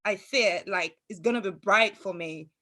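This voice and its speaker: A woman's voice: medium pitch, bright, forceful tone. A woman speaking on a video call as a student guest, giving an enthusiastic, earnest testimonial. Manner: enthusiastic, earnest, somewhat halting.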